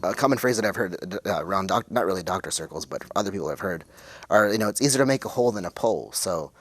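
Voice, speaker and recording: Man, forties, from West Texas, lowish pitch, dry sound, casual interview guest, halting, word-searching delivery. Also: lively intonation, dry recording. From West Texas, United States. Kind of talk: casual interview talk, personal account.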